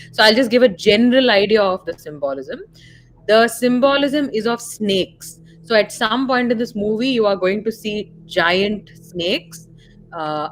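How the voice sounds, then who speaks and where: A woman's voice: medium pitch, slight background noise, rough recording. A woman with an Indian accent co-hosting a casual film discussion, explaining and clarifying points as she goes. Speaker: live stream co-host.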